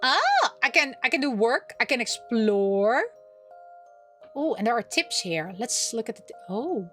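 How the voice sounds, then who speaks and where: A woman with a fairly high voice hosts a visual novel playthrough. She reads the story text aloud and adds casual remarks. Soft music plays behind her. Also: very animated, unhurried. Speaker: gaming channel host playing a visual novel. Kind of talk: reading game text aloud with casual commentary.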